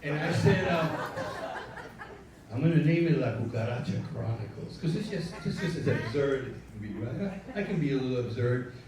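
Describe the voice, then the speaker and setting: A man with a Cuban accent welcoming a live audience as producer, loose and self-deprecating, halting with fillers. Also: mid-range voice, animated, crowd noise. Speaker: show producer. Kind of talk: rambling welcome speech. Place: live stage before an audience. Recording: echoey room, mic from far, audience.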